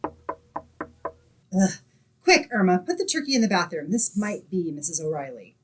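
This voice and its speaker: A woman playing an exasperated, sensible young roommate who narrates an old-time radio sitcom in a wry, expressive way. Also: fairly low pitch, a little slow, soft background music. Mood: flustered.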